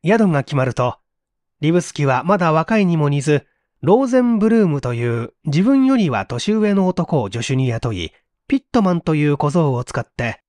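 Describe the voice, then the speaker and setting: Male audiobook narrator, slightly high pitch, dramatic literary delivery, expressive phrasing, dry studio-like sound. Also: no room sound. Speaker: reader of audio stories.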